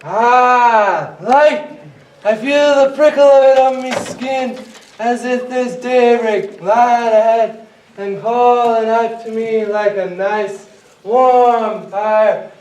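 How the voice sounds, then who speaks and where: A man's voice: very high-pitched, a little mellow, a little hiss or hum. A man doing a creeping old blind pirate. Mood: eerie. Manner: slow, creeping, savoring. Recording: echoey room, far mic.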